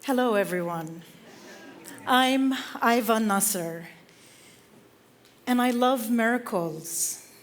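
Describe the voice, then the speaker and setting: A woman from Lebanon giving an inspirational stage talk, slow and measured with dramatic pauses between phrases. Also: a little high. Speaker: speaker. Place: theater stage.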